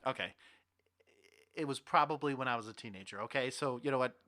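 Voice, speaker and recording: Young adult man, very bright sharp tone, medium pitch, casual podcast banter, animated, rambling. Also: fairly quick. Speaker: podcast host. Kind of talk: casual banter about movies and games.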